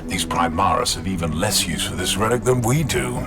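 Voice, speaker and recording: An actor playing a gruff, brooding veteran space marine in a video game, with a grim, wry manner. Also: male voice, low-pitched, animated, moderate pace, music in the background. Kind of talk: game cutscene acting.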